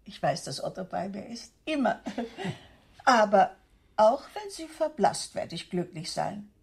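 A woman playing a serene, warm-hearted elderly widowed aunt in a German radio drama, with a husky, creaky voice. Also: medium pitch, very animated, a little slow, full character voice. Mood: fond, serene.

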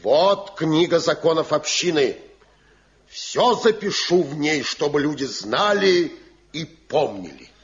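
An actor playing a stern, pious village elder in a Soviet radio play, declaiming and moralizing. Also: male voice, fairly high pitch, animated, slow pace. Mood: solemn. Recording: dull, muffled 1970 radio play recording.

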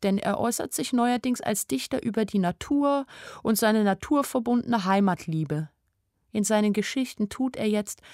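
An actress playing a naive, observant young village woman who narrates her story in a radio play in a plain-spoken, wry way. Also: mid-range voice. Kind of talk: first-person radio play narration in a literary adaptation. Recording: studio radio play with music underneath. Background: music.

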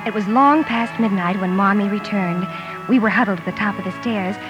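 An actress playing a sensible, gentle eldest sister in a period drama, composed and motherly. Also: medium pitch, brisk, music in the background, natural voice. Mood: hushed concern. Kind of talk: period radio drama acting with narration.

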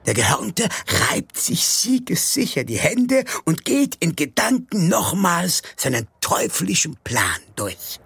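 Melodramatic play announcer: sharp, slow, declamatory narration with big swings in pitch. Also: male voice, sing-song, creaky, husky, no room sound, heavily acted. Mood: solemn. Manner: declamatory, sensational, drawn-out. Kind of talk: theatrical scripted narration.

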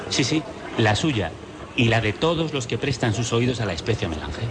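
A man's voice: mid-range voice, sing-song, normal speed, very noisy. An actor playing a patient, enigmatic man in a Spanish radio play, speaking with a Castilian accent. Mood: earnest, mysterious. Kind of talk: Spanish radio theatre acting. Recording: music behind the voice.

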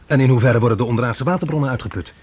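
An actor playing an amiable, inquisitive academic delegate in conversational radio play dialogue. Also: male voice, mid-range voice, rapid-fire, darkish tone, dull audio, a little hiss or hum, natural voice. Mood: relaxed, curious.

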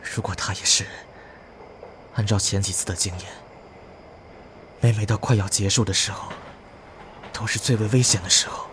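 Guy playing a tense brooding horror drama survivor, lowish voice, loud train noise behind him. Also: fairly even intonation, natural voice. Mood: anxious, calculating. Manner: tense, brooding, deliberate reasoning. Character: anxious male player trapped in a ghost task. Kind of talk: horror audio drama, internal monologue. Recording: noisy train ambience. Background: train rumble.

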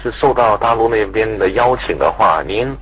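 A man's voice: fairly low pitch, expressive, normal speed, fairly bright tone. A man asking questions in a conversational radio interview, polite and deferential in his phrasing. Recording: faintly noisy radio interview recording.

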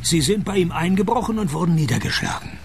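A cynical, world-weary private detective with a gravelly, fairly high voice that swings lively up and down. He tosses off dry, sardonic wisecracks in a radio play, with faint music behind him. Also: fast, slight background noise, heavily acted.

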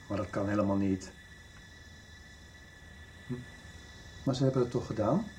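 A man's voice: fairly low pitch, a little mellow, close to an everyday voice. An actor playing an earnest, worried middle-aged father in a radio soap. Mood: indignant. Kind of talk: 1990s radio soap acting. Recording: slightly noisy radio drama recording.

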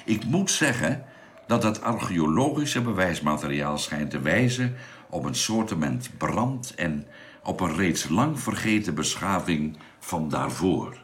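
A man playing a knowledgeable space crewman in a science fiction radio drama, speaking in a measured, explanatory way over background noise. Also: sits low, expressive.

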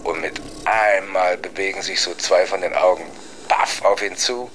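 Over clear background noise, a man with a very low voice tells a story. He speaks casually and colloquially, rambling along as he draws the tale out. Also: steady tone, normal speed, a bit bright. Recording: noisy ambience behind the voice.

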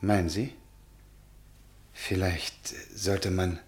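A man's voice: low voice, flat delivery, drawn-out words, darkish tone, slight background noise. An actor playing a shy, hesitant male history professor, stammering and unsure, in an old German radio play.

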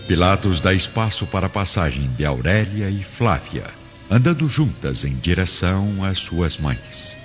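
A man's voice: deep voice, big pitch swings, measured pace. A man narrating a radio drama in a solemn, theatrical scripted style. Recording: dull lo-fi recording, music underneath.

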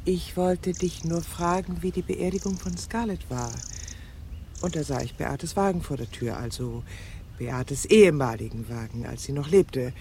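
A woman's voice: sits low, animated, moderate pace, mellow, slight background noise. An actress playing an obsessive, bitter, lovelorn middle-aged woman in a radio crime drama, intimate and often dropping to a whisper. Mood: flustered.

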